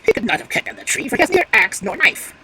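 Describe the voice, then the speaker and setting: A woman voicing an exasperated male crow in a storybook reading, with a loud and scolding manner. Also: sits high, very animated, very fast, a little hiss or hum, pitched above the performer's own voice.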